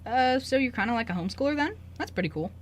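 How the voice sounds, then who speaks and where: A woman's voice: a little high, animated, fast talker, a little hiss or hum, lightly acted. A woman playing a breezy young male journalist with secret magic in an amateur radio play, talking in a casual, joking, slangy way. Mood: breezy, evasive.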